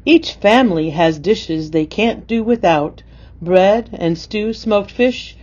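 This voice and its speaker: A woman's voice: mid-range voice, lively intonation, slow pace, darkish tone, highs cut off. A woman reading a rhyming poem aloud. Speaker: poem reader.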